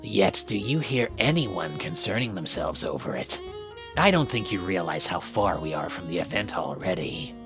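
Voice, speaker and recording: A woman's voice: big pitch swings, moderate pace, soft background music. A woman playing a bored, menacing male skeleton killer with a taunting, sarcastic drawl in a dramatised story reading. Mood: scoffing menace. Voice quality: low, gravelly. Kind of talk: dramatised fan fiction reading.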